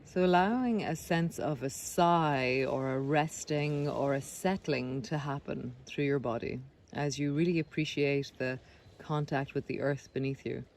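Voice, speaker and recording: A man leading a guided body scan meditation, speaking gently and unhurriedly with pauses between phrases. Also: medium pitch. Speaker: meditation guide, breath coach. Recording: clear background noise of sea sounds. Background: sea sounds.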